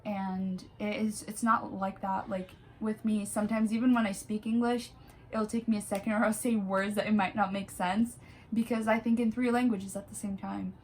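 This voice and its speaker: A woman's voice: mid-range voice, flat delivery, normal speed, a little mellow, a little hiss or hum. Hesitant woman from Brazil. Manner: hesitant, searching for words. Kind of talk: personal account.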